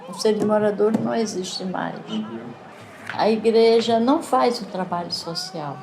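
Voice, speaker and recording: A woman's voice: mid-range voice, moderate pace. An older woman with a Northeastern Brazilian accent from Recife, telling her story conversationally as a personal testimony. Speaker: community educator and resident giving testimony. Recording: noisy interview with background ambience. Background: clear background noise and ambience.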